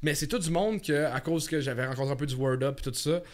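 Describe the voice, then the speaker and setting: A Québécois man in his thirties chatting casually and telling stories in an animated way, repeating words for emphasis. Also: fairly high pitch, fast. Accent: Québécois French. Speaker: guest, stand-up comedian. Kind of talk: casual chat with storytelling.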